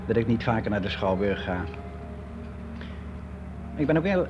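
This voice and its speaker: A man's voice: medium pitch, dull audio, close to an everyday voice. Actor as lonely older man, noisy recording. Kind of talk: naturalistic radio drama monologue, confessional. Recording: very noisy radio play recording.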